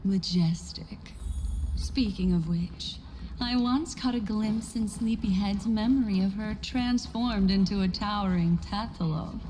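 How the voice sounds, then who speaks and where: A calm, curious game character played by a woman muses in quiet awe. Her mid-pitched voice is mellow and unhurried. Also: a little hiss or hum, natural voice, rough recording. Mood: awed, musing. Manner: musing, unhurried. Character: calm, curious, quietly awed female onlooker. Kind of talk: video game voice acting.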